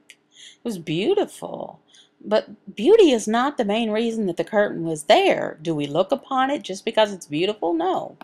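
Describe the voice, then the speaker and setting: A woman voicing a signed sermon as interpreter, in a halting, phrase-by-phrase delivery. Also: mid-range voice. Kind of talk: sermon voiced from sign language.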